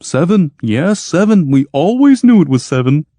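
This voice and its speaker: A man's voice: lively intonation, a little slow, warm tone, dry recording. A man doing a frantic, fawning inventor. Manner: high, pleading and wheedling. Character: anxious, fawning male constructor, eager peacemaker.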